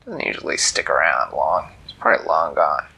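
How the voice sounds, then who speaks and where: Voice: very deep voice, animated, normal speed, creaky, bright, forceful tone, husky, pitched below the performer's own voice, heavily acted, rough recording. A storyteller voicing an ancient, grumpy male cat who talks in curt, whispery grumbles. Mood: grumbling.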